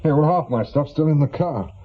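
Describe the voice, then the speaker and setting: A man's voice: fairly high pitch, brisk, husky. A man playing an awkward, wry middle-aged ex-policeman turned private eye in a radio play, muttering and hesitant. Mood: awkward, evasive. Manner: hesitant, muttering, dryly sardonic. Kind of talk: naturalistic radio drama acting. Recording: dull, lo-fi radio drama recording.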